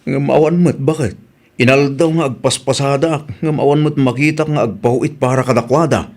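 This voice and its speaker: A man's voice: mid-range voice, fairly even intonation, normal speed, a little mellow, close to an everyday voice. A man with a Filipino Ilocano accent playing an easygoing, helpful working husband in a radio comedy-drama. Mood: sympathetic.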